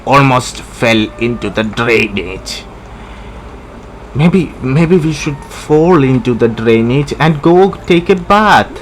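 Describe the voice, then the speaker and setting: Slow-talking man commenting on gameplay. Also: medium pitch, animated, a little hiss or hum. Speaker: gamer.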